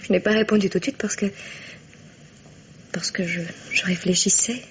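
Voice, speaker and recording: An actress playing a gentle, candid young daughter in a French radio drama, soft, thoughtful and informal, with a slightly husky voice. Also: female voice, mid-range voice, steady tone, moderate pace, a little hiss or hum, natural voice. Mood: hesitant, thoughtful. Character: gentle, candid young daughter, affectionate toward her father. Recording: dull old radio recording.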